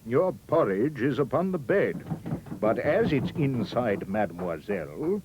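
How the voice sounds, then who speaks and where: A man as a grim, deadpan big bear. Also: medium pitch, lo-fi sound, background noise. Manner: slow, deliberate. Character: big gruff father bear, grimly deadpan.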